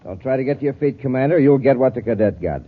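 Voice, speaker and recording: A man performs a menacing villain from an old space adventure serial, smug and threatening in a husky mid-range voice. The sound is thin, narrow vintage radio. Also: medium pitch, expressive, fast talker, natural voice. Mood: menacing, commanding. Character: menacing, smug male saboteur villain with a gun. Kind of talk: 1950s radio melodrama.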